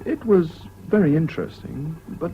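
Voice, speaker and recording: A man's voice: a little high, expressive, moderate pace, close to an everyday voice. An actor playing a polite, reserved, kindly young British man in a radio drama, speaking tentatively. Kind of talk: BBC radio drama acting. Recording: dull lo-fi old radio broadcast, hissy.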